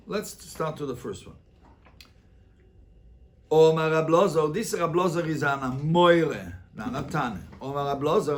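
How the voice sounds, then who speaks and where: A male rabbi teaching an interactive Talmud lesson, explaining emphatically and repeating phrases to make his point. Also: a little high.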